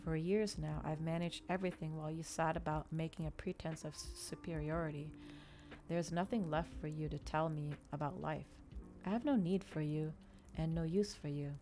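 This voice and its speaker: A woman voicing a brooding, articulate male vampire from a novel in a formal, deliberate manner. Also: deep voice, steady tone, mellow, soft background music. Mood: cold, intense.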